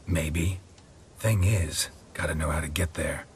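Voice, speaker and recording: An actor playing a stoic, grizzled monster hunter in a fantasy video game, with a gravelly voice and a terse, deadpan delivery. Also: male voice, a little slow, slight background noise. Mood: dry, probing. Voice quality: gravelly, husky low voice. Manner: terse, deadpan, clipped questions. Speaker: player character in a fantasy role-playing game.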